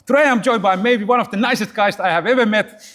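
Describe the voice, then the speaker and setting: A man hosting a podcast, asking questions in an energetic, eager way and often repeating words. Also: high voice, fast talker. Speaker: podcast host. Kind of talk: casual interview.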